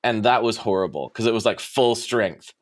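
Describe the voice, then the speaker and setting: A man's voice: on the low side, animated, moderate pace. Laid-back male guitar podcast host. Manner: laid-back, chatty, laughs easily. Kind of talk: casual chat.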